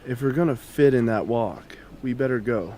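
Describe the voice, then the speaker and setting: Faint noise runs behind an actor playing a relaxed young male soldier. He has a mid-pitched voice and a protective streak, in a radio play. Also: moderate pace, close to an everyday voice. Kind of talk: amateur radio drama acting.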